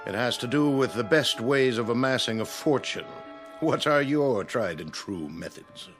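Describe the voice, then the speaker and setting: An actor in a radio play voicing an opinionated, hearty gentleman investor at a club. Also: mid-range voice, expressive, normal speed, soft background music, natural voice. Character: clubby male investor of traditional tastes. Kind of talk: dramatic radio play acting.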